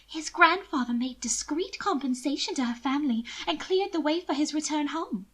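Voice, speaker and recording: Woman voicing genteel young lady, high-pitched, anxious and sly, dramatic urgency, protective sister, audiobook dialogue. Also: expressive, moderate pace, fairly bright tone. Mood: grave warning.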